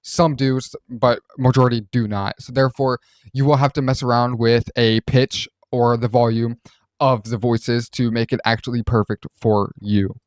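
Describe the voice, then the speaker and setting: A man hosting a casual software walkthrough, explaining things in an informal, loosely rambling way. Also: mid-range voice, fairly even intonation, moderate pace, dry recording, clean studio sound. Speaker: host reviewing voice changer software.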